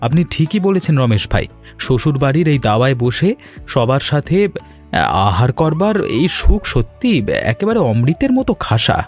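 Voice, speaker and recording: A man's voice: a little high, big pitch swings, moderate pace. A man voicing an eager, respectful young village son-in-law in a Bengali cartoon story, earnest and polite, with a Bengali accent. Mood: cheerful, earnest. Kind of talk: animated folk story dialogue. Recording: music bed under the voice.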